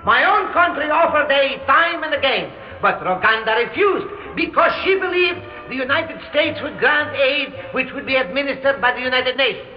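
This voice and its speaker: Shrill, indignant delegate in echoing hall. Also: male voice, animated, moderate pace, few pauses. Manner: declamatory, accusing. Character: indignant male foreign delegate making a speech. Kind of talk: old radio drama oratory. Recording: echoing hall, muffled radio, faint music.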